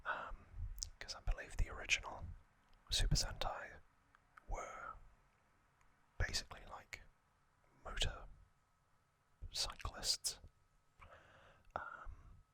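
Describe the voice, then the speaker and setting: Slow whispering man, ASMR host. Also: no echo, low-quality recording. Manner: soft unvoiced whisper with frequent ums; rambling, personal recollections.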